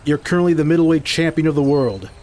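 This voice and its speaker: A man playing a proud, unyielding, reclusive martial artist in an audio drama, speaking in a stern, blunt, deliberate way. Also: fairly high pitch, darkish tone, slight background noise, lightly acted. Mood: scornful.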